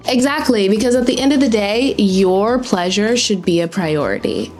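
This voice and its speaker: A woman's voice: mid-range voice, expressive, normal speed, darkish tone. Young woman, co-host, playful gossipy chat, faint music underneath. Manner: playful, gossipy, reading chats aloud. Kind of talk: casual chat.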